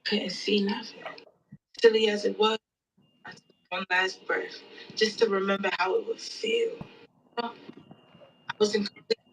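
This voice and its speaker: Audio play role for a woman: weary survivor of a storm at sea, mid-pitched, reflective folksy storyteller. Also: very animated, a little slow, vocal fry, long pauses, slight background noise, poor audio. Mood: wary, reflective. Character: weary storm-tossed survivor recounting near drowning at sea. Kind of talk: dramatic audio play monologue.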